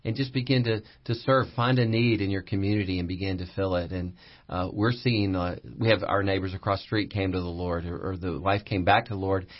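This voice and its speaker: A pastor speaks as a guest in a radio studio. His voice is low and husky, and he talks earnestly, often repeating words as he goes. The broadcast sound is slightly dull. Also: steady tone, a little fast. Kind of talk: conversational radio interview.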